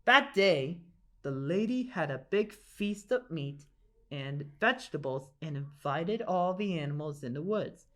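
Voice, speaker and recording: A woman's voice: sits low, animated, measured pace. A woman narrating a children's storybook aloud in an enthusiastic, emphatic way. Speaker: storybook reader.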